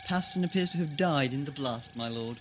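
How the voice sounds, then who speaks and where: An actor playing a cautious, reasonable underling to a tyrant in an audio drama, speaking respectfully and with care. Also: male voice, a little high, slight background noise, close to an everyday voice. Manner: respectful, careful, measured.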